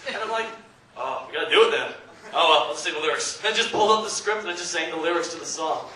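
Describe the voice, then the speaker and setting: A man's voice: a little high, fast talker. A man on a convention panel telling stories in a casual, joking, rambling way. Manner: animated, joking, rambling storytelling. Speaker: panelist and abridged-series voice actor. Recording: echoey hall, distant mic, noisy crowd. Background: audience noise.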